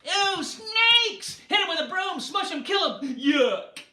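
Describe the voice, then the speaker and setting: A frantic storybook villager, played by a man, squeals in loud disgust. The voice is very high and sharp, with roomy echo around it. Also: sing-song, takes it slowly, exaggerated voice. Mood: disgusted panic. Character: disgusted, panicky villagers shrieking at snakes.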